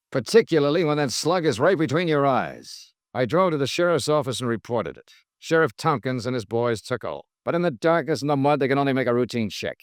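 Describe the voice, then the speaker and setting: A man plays a hard-boiled American insurance investigator from a 1950s radio serial. His delivery is crisp and matter-of-fact, with lively inflection in a mid-range voice, recorded close and dry on vintage radio. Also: medium pitch, dry recording, close to an everyday voice. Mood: grim. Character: tough, loyal freelance insurance investigator, middle-aged man. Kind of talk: 1950s radio drama acting with first-person narration.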